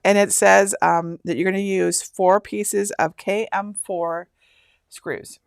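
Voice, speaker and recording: Woman, 50s, from Michigan, chatty and rambling, mid-pitched, dry close sound. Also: steady tone, slow, gravelly, dry recording. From Michigan, USA. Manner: chatty, rambling, laughs often. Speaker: host. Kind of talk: casual live-stream chat.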